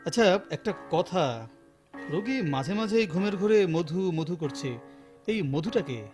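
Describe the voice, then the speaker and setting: An actor playing a calm, businesslike doctor in a Bengali radio drama, speaking in a measured, authoritative manner. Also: male voice, high voice, faint music behind. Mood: reassuring. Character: calm, businesslike male doctor reassuring a relative.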